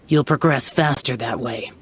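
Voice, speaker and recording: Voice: medium pitch, normal speed, slight background noise, close to an everyday voice. An actor playing an aloof, stern young male immortal warrior and mentor, curt and serious, in a video game. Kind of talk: video game character acting. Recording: music playing behind the voice.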